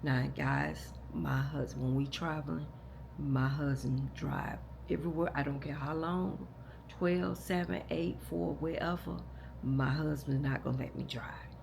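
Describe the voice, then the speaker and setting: A hoarse woman, telling a long story to her viewers in a casual, rambling way, with many restarts. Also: sits low, steady tone, unhurried, background noise. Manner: rambling, repeats and restarts, talks straight to "y'all". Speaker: vlogger.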